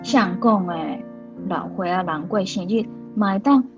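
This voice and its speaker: An actress playing an affectionate, caring grown daughter and aunt in a radio drama, with a Taiwanese Hokkien accent. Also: female voice, mid-range voice, normal speed, dull audio, faint music behind, close to an everyday voice. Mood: tender, earnest.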